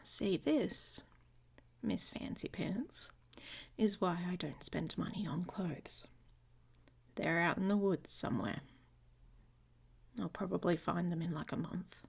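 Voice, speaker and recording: Husky, creaky, grumpy she-wolf played by a woman. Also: on the low side, darkish tone, exaggerated voice. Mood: grumbling, teasing. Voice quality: husky, creaky, often dropping to a whisper. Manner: sarcastic, blunt, halting. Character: gruff, grumpy, protective she-wolf shifter woman, secretly affectionate. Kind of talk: intimate ASMR romance roleplay.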